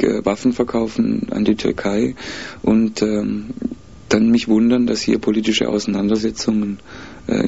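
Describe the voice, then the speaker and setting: A man answering interview questions in a measured, hesitant way, with frequent pauses and fillers as he thinks aloud. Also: on the low side, gravelly, darkish tone, husky. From mixed German, Spanish, Italian and Peruvian roots. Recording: dull lo-fi recording.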